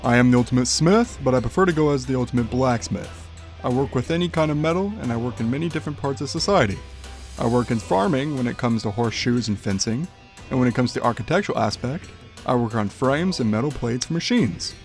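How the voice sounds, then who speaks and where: A man playing a reserved, polite teenage blacksmith in an amateur audio drama, calm and matter-of-fact. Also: medium pitch, fast, soft background music, natural voice.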